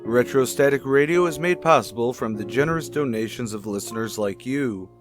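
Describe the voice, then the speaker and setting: A man's voice: mid-range voice, fairly quick, over music. A man reading a scripted outro announcement as a podcast announcer.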